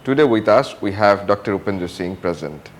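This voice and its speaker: Male interview host, lowish voice, formal prepared delivery, faint background noise. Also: expressive, fairly quick. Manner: formal and polished, reading prepared lines. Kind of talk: scripted interview introduction.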